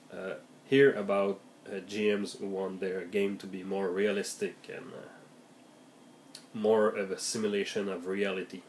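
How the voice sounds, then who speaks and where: A man with a heavy cold, his voice raspy, talking casually and hesitantly with many fillers as he shares tips for game masters. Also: deep voice, a little hiss or hum. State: has a heavy cold, voice deeper and raspier than usual. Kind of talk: casual vlog monologue.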